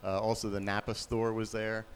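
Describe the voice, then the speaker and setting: A man with a very low, deep voice speaks to a congregation. He tells his story in a relaxed, plain way, with faint noise behind him. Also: moderate pace. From Pennsylvania, USA. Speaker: youth pastor. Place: church.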